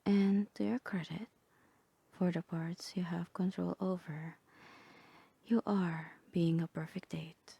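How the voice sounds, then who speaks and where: A woman voicing a calm, composed therapist in a soft, breathy, half-whispered ASMR roleplay, measured and clinical. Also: on the low side, dry recording, exaggerated voice. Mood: calm, reassuring. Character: calm, composed female therapist guiding a patient through roleplay.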